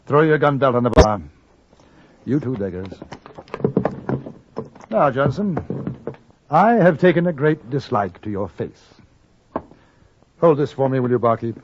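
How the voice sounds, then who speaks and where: An actor playing a cool gentleman adventurer in a radio western, dry, formal and understated. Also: mid-range voice, expressive, measured pace, long pauses, noisy background, natural voice. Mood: cool, menacing. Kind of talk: 1950s radio western narration and acting. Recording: dull vintage radio recording, music behind.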